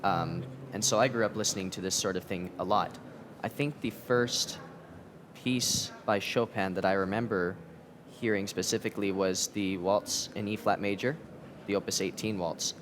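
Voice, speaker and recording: Measured young American man. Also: fairly low pitch. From United States. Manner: articulate, measured. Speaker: pianist guest. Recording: steady background noise.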